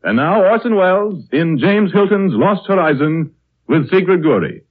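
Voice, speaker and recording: A man's voice: high-pitched, sing-song, moderate pace, husky. An American man announcing a sponsor's script in a polished, persuasive 1930s radio style. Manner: polished, persuasive sales delivery. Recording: tinny 1930s radio recording.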